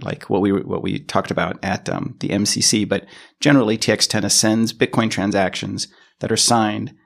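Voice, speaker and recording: An American man from California, explaining things conversationally as an interview guest, thoughtful and halting, often correcting himself. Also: on the low side, vocal fry. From California, United States. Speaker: podcast guest, product engineer.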